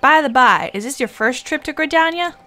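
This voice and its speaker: A woman's voice: sits high, expressive, a little fast, pushed and bright, pitched above the performer's own voice. A woman voicing a chatty, friendly travelling merchant from a fantasy game, with folksy speech in a put-on accent. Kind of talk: reading game dialogue aloud in character. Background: game music.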